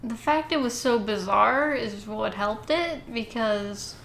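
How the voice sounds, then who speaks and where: A woman chats casually as one of several podcast co-hosts. Her voice is fairly high. The recording has a roomy echo and faint background noise. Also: slow, creaky, fairly bright tone. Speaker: podcast co-host. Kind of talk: casual group chat.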